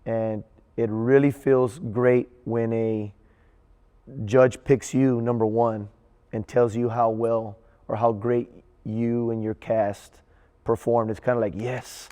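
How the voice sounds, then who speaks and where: A man speaking as a theater director in an interview, earnest and halting, often repeating words as he thinks. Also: mid-range voice, little pitch movement, dark tone, smooth, long reverb tail. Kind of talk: interview reflection.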